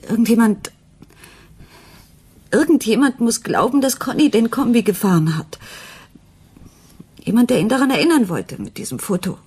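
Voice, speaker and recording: A woman's voice: on the high side, lively intonation, moderate pace, long pauses, a little mellow, husky, exaggerated voice. An actress playing an earnest, insistent, troubled wife and mother in a German radio crime play. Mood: pleading. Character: distraught, doubting wife of a jazz musician, a mother.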